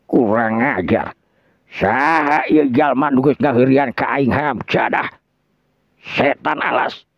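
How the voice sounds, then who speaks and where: A man's voice: medium pitch, slow pace, gravelly, no room sound. A man voicing a furious old village sorcerer in a Sundanese folk tale, coarse and menacing, cursing and threatening. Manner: coarse, shouting curses and threats. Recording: dull lo-fi audio.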